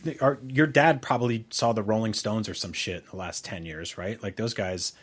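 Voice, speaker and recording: A man's voice: on the low side, moderate pace, slightly rough. A man co-hosting a podcast, chatting casually in a rambling, enthusiastic way with plenty of swearing. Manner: rambling, enthusiastic, profane storytelling. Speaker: podcast co-host. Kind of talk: casual chat.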